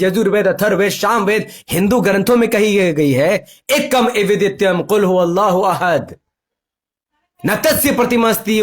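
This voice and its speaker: A man giving an impassioned political monologue to viewers, emphatic and confrontational, leaning on repetition and rhetorical questions. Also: sits high. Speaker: political commentator and video host.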